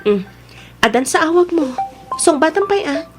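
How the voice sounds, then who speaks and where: A gentle young Filipino wife in an audio drama, played by a woman. Her high voice is expressive and lilting, with a questioning manner. Faint music sits behind her. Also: moderate pace. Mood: curious. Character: trusting, gentle young wife growing suspicious. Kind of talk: Ilocano audio drama acting.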